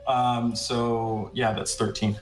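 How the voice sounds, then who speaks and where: A man playing a tabletop game, halting with restarts and fillers as he describes his character. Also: medium pitch, little pitch movement, music in the background, poor audio. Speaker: player. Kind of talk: casual tabletop play.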